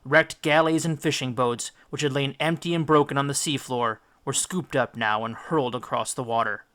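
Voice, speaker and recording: A man narrates a storybook in a bright, forceful voice of middling pitch. His delivery is scripted and theatrical, with deliberate, careful phrasing. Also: steady tone. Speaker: audiobook reader.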